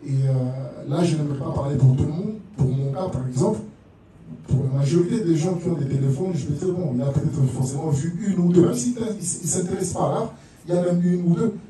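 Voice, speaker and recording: Very echoey Congolese man, dark voice. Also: a little high, a little fast. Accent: Congolese French. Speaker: panel speaker, visual artist. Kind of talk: informal panel discussion. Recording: very echoey room, distant mic, faint noise.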